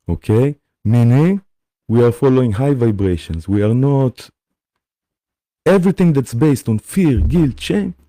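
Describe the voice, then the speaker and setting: Slow, soft-spoken Israeli man. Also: on the low side, no echo. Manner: halting, gentle, often checks in with "okay?". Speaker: spiritual teacher hosting a live session. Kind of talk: live-streamed spiritual talk.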